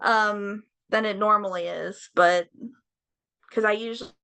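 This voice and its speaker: Candid young woman, slightly raspy voice. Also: medium pitch, fairly even intonation, unhurried, bright, forceful tone. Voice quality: slightly raised, raspy voice from a nerve implant. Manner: candid, swears freely, frank and joking. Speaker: podcast guest. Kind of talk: casual interview chat.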